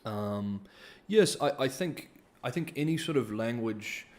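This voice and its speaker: A man's voice: medium pitch, lively intonation, a little slow, darkish tone. A man, hosting a casual livestream Q&A and reading donor comments, hesitant, with many ums and restarts. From New Zealand. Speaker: livestream host.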